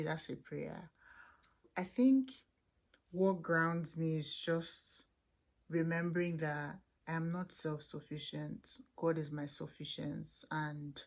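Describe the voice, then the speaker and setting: Low-voiced Nigerian woman chatting. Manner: chatty, candid, with frequent restarts. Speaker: international student vlogger. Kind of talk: casual chat answering questions to camera.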